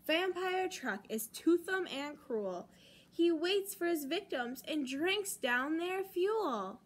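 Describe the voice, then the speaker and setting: A young American woman reading a picture book aloud to children as a storytime host, with deliberate, dramatic emphasis on key words. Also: very high voice, animated. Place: library children's room, indoors.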